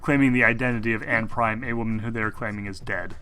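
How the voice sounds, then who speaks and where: Male game master, bright tone, improvised halting narration, light music underneath. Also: lowish voice, steady tone, clean tone. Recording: music mixed behind voice.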